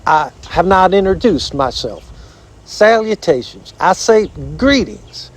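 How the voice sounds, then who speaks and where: A man's voice: sits high, sing-song, slow, voice pushed up, heavily acted. A man voicing a folksy, pompous old armadillo uncle with a Southern drawl, blustering and repeating himself, in a storybook reading. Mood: courtly, genial.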